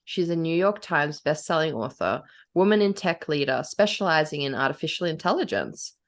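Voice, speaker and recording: Giggly, rambling woman with a low voice. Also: animated, moderate pace. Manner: giggly and rambling, heavy use of "like". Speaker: podcast co-host. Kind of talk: casual chat.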